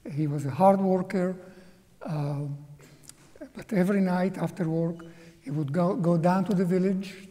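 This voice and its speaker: A man in his seventies, a native Hungarian speaker from Czechoslovakia, giving a slow, halting, reflective personal testimony as an interview guest in a museum auditorium. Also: on the high side, dark tone, big-room sound. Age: 70s.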